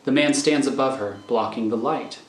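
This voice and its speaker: Male narrator reading screenplay directions: medium pitch, scripted delivery, roomy sound with noise. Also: moderate pace. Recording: echoey room, clear background noise.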